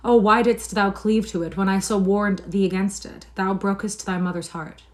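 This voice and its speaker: A woman's voice: medium pitch, steady tone, fast, close to an everyday voice. A woman reading aloud and voicing a distraught poor mother, tearful and pleading. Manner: pleading, lamenting.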